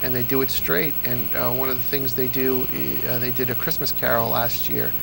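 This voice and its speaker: Gravelly-voiced man, enthusiastic interview guest. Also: medium pitch, level tone, normal speed, noisy background. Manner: enthusiastic, stumbling restarts. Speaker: guest, old-time radio fan. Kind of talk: casual interview chat.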